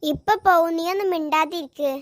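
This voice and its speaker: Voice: extremely high pitch, brisk, dry recording, studio quality. A performer voicing a weary, exasperated mother in a comedy cartoon, explaining and scolding. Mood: brisk, firm. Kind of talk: Malayalam comedy cartoon dialogue.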